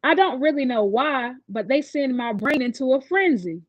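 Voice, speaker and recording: A woman's voice: high-pitched, animated, moderate pace, dry recording, highs cut off. A woman voicing a sweet, anxious schoolgirl in a storybook, with a nervous, earnest way of talking. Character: anxious, sweet schoolgirl who is easily upset.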